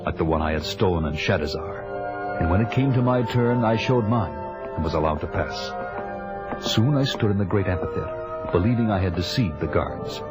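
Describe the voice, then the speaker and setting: A man's voice: deep voice, expressive, normal speed. An actor playing a vengeful barbarian warrior who solemnly narrates his own quest in an audio drama. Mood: grave, narrating. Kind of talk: scripted first-person audio drama narration. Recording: music behind the voice.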